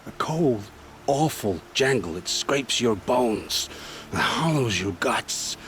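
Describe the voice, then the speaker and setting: An actor playing a remorseful male traitor in a video game, talking in a slow, haunted, pleading way. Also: mid-range voice, animated, fairly bright tone, a little hiss or hum.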